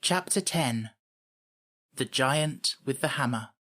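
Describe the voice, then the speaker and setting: A man's voice: medium pitch, lively intonation, slow pace, fairly bright tone. A man narrating a children's story as a scripted audiobook reading.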